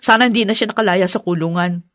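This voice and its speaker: A woman as resentful Filipino wife, mid-pitched, scornful, bitter, radio drama. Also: quick pace, a bit bright, close to an everyday voice. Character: resentful, bitter young wife scorning her father-in-law. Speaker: drama actor.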